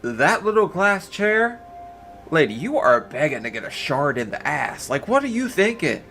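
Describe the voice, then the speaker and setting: A man's voice: fairly high pitch, big pitch swings, normal speed, pushed and bright, faint music behind. A man giving casual live reaction commentary in a blunt, exclamatory, foul-mouthed way. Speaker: reaction streamer.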